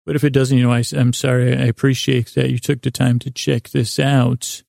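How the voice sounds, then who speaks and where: Very creaky, mellow, rambling man. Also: mid-range voice, flat delivery, normal speed, no room sound, clean studio sound. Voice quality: gravelly, creaky. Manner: meandering, stumbling, repeats and breaks off words; silly, whimsical, soothing intent. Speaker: podcast host. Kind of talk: rambling bedtime sleep podcast monologue.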